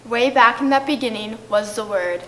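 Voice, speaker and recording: A woman's voice: a little high, normal speed, fairly bright tone, low-quality recording. A woman giving a scripted poetic reading at a church service. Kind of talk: scripted poetic reading, shared among readers.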